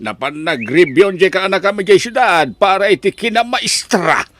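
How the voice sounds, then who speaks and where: A man's voice: sits high, animated, normal speed, a bit bright. A man with a Filipino accent playing a proud, hardworking, doting farmer father in an audio drama. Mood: hopeful, proud. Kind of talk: Ilocano audio drama acting. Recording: faint background noise.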